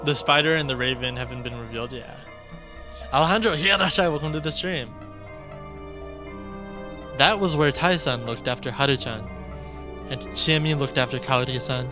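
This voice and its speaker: A man's voice: medium pitch, normal speed, pauses often. A man streaming a game, reading story text aloud and adding his own commentary, with game music behind him. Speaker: streamer playing a visual novel. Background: video game music.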